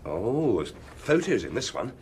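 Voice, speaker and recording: A man's voice: medium pitch, sing-song, a little slow, darkish tone, slight background noise. An actor playing a deferential junior male police inspector in a detective audio drama, polite and tentative. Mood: curious.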